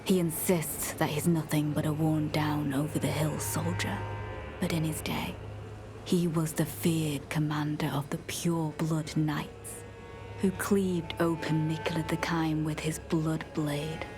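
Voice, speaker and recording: An actress playing a devoted, suspicious female knight in a fantasy game, speaking formally and with measured, archaic phrasing and quiet menace. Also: low voice, level tone, music in the background. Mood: quietly menacing. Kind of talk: dramatic fantasy game dialogue.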